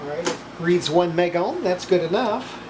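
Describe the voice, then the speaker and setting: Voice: medium pitch, animated, normal speed, echoey room. Older folksy workshop tinkerer, noisy room. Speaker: radio restorer presenting own repair. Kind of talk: casual hands-on workshop narration. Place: small cluttered indoor electronics workshop.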